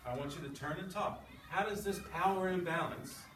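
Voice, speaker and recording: A man's voice: sits high, normal speed, fairly bright tone. A man teaching English to sixth graders, reading aloud and leading class discussion in an informal way, often checking with his listeners. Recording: echoey, noisy classroom, distant mic.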